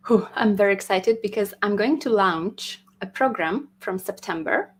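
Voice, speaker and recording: A woman's voice: medium pitch, breathy edge. Woman music teacher. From Hungary. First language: Hungarian. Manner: thoughtful, laughs often, slightly halting. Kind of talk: casual interview.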